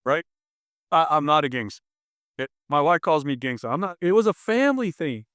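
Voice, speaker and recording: A man's voice: on the high side, sing-song, fairly quick, fairly bright tone, smooth, no echo, clean studio sound. A man in his fifties, born in Tonga and raised in Los Angeles, telling stories as a martial arts instructor in a casual interview, rambling with many restarts and fillers.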